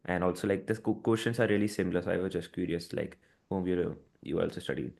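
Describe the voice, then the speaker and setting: A man's voice: low-pitched, quick pace, creaky, a little mellow. A man on a casual business call, sharing advice with a peer in an encouraging way, with frequent fillers.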